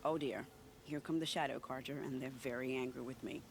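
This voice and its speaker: A woman's voice: rumbling low, fairly even intonation, a little fast, noisy background. An actress playing a witty, sly woman spy in a video game, with an arch, teasing, flourishing delivery. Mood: wry, bold. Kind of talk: video game cutscene acting. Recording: music behind the voice.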